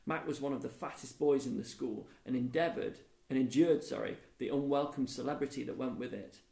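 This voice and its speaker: Creaky-voiced man reading a storybook. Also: medium pitch, fairly quick, warm tone. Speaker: primary school teacher reading to pupils. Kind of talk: children's storybook read aloud. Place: classroom, indoors. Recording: echoey room.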